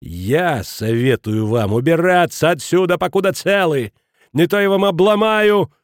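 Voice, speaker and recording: A man voicing a surly male bully in an audiobook, gruff, goading and threatening. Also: fairly low pitch, normal speed, darkish tone, no room sound, clean studio sound, lightly acted.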